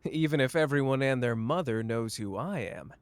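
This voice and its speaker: A man's voice: mid-range voice, moderate pace, a little hiss or hum, natural voice. A man playing a cocky, flamboyant young sorcerer who teases the listener in a playful, smug roleplay audio drama. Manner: playful, smug, drawling teasing.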